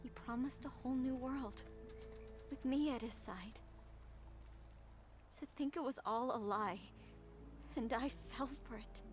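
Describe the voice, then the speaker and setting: A woman's voice: high voice, measured pace, long pauses. An actress playing an earnest, remorseful young woman in a dramatic video game cutscene. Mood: ashamed, regretful. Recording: loud music behind the voice.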